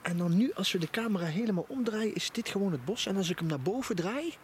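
Guy vlogging outside in the woods, high voice, really into it, noisy camera audio. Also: animated, fast talker. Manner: enthusiastic. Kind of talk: casual outdoor vlog commentary. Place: outdoors in a forest at night by a campfire.

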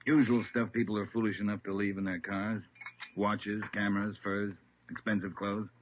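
Man as a low, grim police captain. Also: level tone, moderate pace, phone-quality sound, close to an everyday voice. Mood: grim, brisk. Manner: authoritative, clipped, factual. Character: brisk, grim male police captain giving orders. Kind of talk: vintage radio police drama. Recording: thin, hissy old radio recording.

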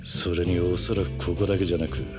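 Very low, stoic undercover agent. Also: male voice, flat delivery, fast talker, music in the background. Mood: wry, analytical. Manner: terse, composed. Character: stoic, commanding middle-aged male undercover agent. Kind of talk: restrained Japanese game dub acting.

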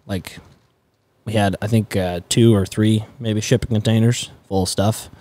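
Guy in his 20s, native dutch speaker, lowish voice, kinda rambly farmer chat on a podcast. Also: steady tone, unhurried, dark tone. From Netherlands. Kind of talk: casual conversational storytelling. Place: indoors, garage-like studio. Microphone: close podcast mic on boom arm.

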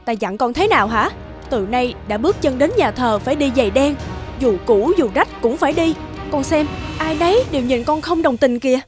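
A strict older lady in a cartoon fairy tale, high-pitched and motherly. She admonishes over clearly audible music. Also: animated, a little fast. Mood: scolding, alarmed. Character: strict older adoptive mother, proper and scolding. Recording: music behind the voice.

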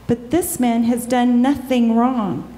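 A woman's voice: on the high side, steady tone, slow pace, warm tone. A woman giving a dramatic reading of scripture at a church service. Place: large church interior before a congregation. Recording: long reverberant church hall.

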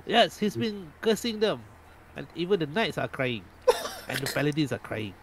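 A man's voice: on the high side, very animated, normal speed, noisy background. A man narrating as game master of a tabletop game, often breaking into laughs.

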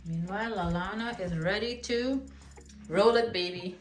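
A woman's voice: mid-range voice, moderate pace. A woman hosting a home cooking show, chatting casually and asking lots of questions as she goes. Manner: chatty, asks many questions, restarts often. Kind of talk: casual kitchen chat. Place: home kitchen. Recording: roomy kitchen echo.

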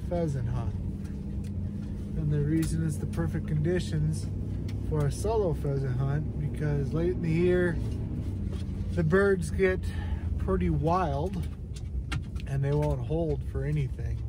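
Easygoing rural man, 30s, chatty vlogger; head-cam sound, heavy wind noise, slightly raised pitch. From South Dakota. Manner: plain-spoken, easygoing, rural talk. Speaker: hunting vlogger. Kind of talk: casual self-filmed hunting vlog. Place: inside a pickup truck, then outdoors on windy snowy prairie. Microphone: head-mounted action camera. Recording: loud wind buffeting the mic. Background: strong wind noise.

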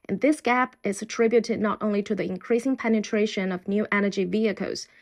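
A woman narrating a business documentary in a measured, scripted voice-over. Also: medium pitch.

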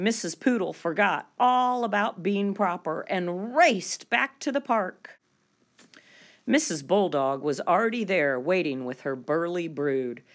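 Low-pitched woman reading with lively swoops. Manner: expressive, deliberate, drawing out key words for young listeners. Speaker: storyteller reading a picture book aloud. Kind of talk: children's picture-book read-aloud.

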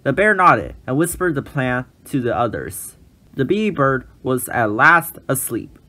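A man narrating a children's storybook in an expressive, measured read-aloud style. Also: medium pitch.

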